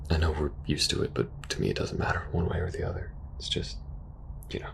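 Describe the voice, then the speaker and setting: Man acting a low-voiced, war-weary soldier. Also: steady tone, quick pace, gravelly, background noise. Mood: urgent, persuasive. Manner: hesitant, earnest, hushed at times. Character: war-weary young male soldier pleading with best friend, protective, earnest. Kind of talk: intimate ASMR audio roleplay.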